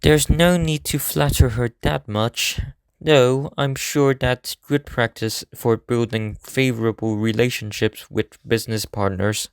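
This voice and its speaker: A man acts as a serious teenage idol student in an anime fan dub. His voice is smooth and clear in a dry, close recording. He explains things earnestly, in formal, measured sentences. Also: mid-range voice, fairly even intonation, no echo, close to an everyday voice. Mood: earnest, thoughtful. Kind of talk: scripted anime game fan dub acting.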